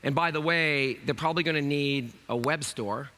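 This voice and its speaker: A man in his fifties with a raspy voice, hosting a conference keynote in a loose, chatty way with many fillers. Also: fairly high pitch, normal speed, sharp tone. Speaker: keynote host. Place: large conference stage.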